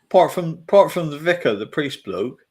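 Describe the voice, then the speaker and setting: A man's voice: on the high side, lively intonation, normal speed, a little mellow, husky. A man with a Devonshire accent, co-hosting a podcast in casual film chat, hesitant with many fillers and restarts. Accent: Devonshire English. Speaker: podcast co-host.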